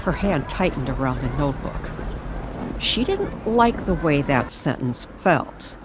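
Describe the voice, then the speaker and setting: A woman narrating a scripted mystery story dramatically, with deliberate, suspenseful phrasing. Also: deep voice, sing-song, background noise.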